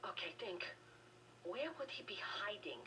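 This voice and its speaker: A woman's voice: medium pitch, animated, a little slow, recorded from a distance, background noise, close to an everyday voice. An actress playing a cold, threatening woman villain in a video game, taunting and hunting her enemy. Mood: frustrated. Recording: game audio filmed off a screen, loud music.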